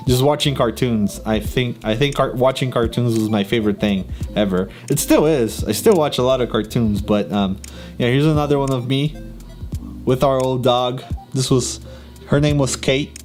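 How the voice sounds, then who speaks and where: A man's voice: medium pitch, darkish tone. A young man from Brazil with a Brazilian Portuguese accent, talking casually to camera, laughing often and filling gaps with ums. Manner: chatty, laughs often, many fillers and restarts. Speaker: YouTuber.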